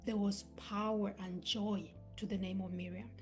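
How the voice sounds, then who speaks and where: A woman in her thirties who grew up between Uganda and Scandinavia delivers a motivational live talk. Her voice sits at a middle pitch, earnest and encouraging, and she slips into a whisper to stress a point. Faint music plays underneath. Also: little pitch movement, normal speed. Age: 30s. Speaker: motivational speaker.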